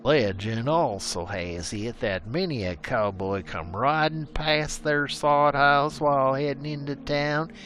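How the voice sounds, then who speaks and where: A man's voice: a little high, fairly bright tone, a little hiss or hum. A man narrating a frontier legend as a scripted story, with rustic, old-timey storyteller phrasing.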